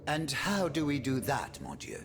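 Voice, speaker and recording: An actor playing an anxious councillor in a historical video game, urgent and questioning. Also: male voice, medium pitch, wildly swooping pitch, moderate pace. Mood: worried. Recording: music behind the voice.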